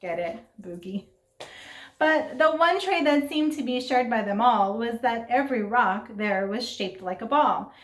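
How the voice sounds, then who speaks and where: A woman reading a children's story aloud in an expressive, dramatic way, with asides and questions to young listeners. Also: on the high side, a little slow. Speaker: storybook reader. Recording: roomy, echoing sound.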